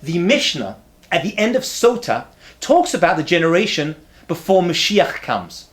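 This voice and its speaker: This is a man in his thirties giving an animated rabbinic lecture straight to camera. His pitch leaps and falls with real liveliness as he drives home repeated punchlines. He slips in humorous asides, and he talks into a close lapel mic in a quiet study lined with books. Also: normal speed. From not from America.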